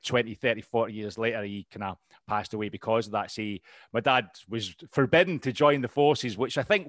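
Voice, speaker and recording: A man's voice: mid-range voice, fairly bright tone, no echo. Scottish guy in his 30s rambling thru his story on a podcast, lots of ums, dry close mic. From Scotland. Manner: rambling, lots of ums and restarts, laughs easily. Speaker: podcast guest, RAF veteran. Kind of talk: casual interview chat.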